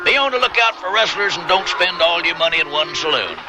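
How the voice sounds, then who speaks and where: A man's voice: on the high side, animated, a little fast, noisy background, close to an everyday voice. A man playing a folksy, wry cowhand teaching a greenhorn in a radio western. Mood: wry, teasing. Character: folksy, joking cowhand showing a greenhorn the ropes.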